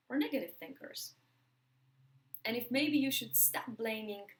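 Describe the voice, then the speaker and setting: A woman with a Polish accent delivers a motivational talk to camera. Her delivery is emphatic, with words repeated for stress. She slows deliberately on the phrases that matter most. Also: medium pitch. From Poland. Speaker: motivational speaker.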